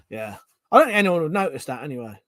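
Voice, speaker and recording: A man's voice: mid-range voice, a bit bright, no room sound, studio quality. A middle-aged man chatting casually on a live stream, reading out chat messages, swearing freely and restarting his sentences.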